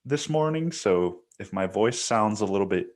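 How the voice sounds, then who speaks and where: Low-voiced man rambling casually on a podcast. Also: animated, moderate pace. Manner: profane, slangy, conversational. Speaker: podcast host. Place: bedroom used as home studio.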